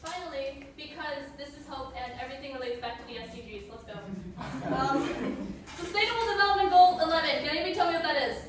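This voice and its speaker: Teenage female student presenter, high-pitched, scripted but informal; distant mic, strong room echo. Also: lively intonation, quick pace. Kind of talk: school presentation, prepared script with casual asides. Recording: very echoey room, distant mic, clear noise.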